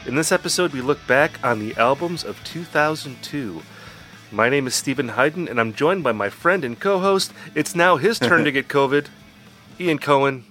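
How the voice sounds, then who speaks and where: A man's voice: mid-range voice, expressive, moderate pace, pushed and bright. A man hosting a music podcast in casual chat, congested and getting over an illness, talking in a rambling, self-deprecating way. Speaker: podcast host.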